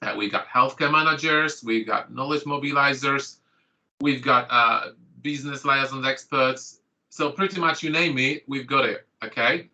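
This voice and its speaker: A middle-aged man whose first language is Polish welcomes trainees to an online course. His voice is very bright and forward. He talks in a chatty way, full of fillers and restarts, over a computer mic on a video call. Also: medium pitch, moderate pace, rough recording. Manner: chatty, filler-heavy, self-correcting.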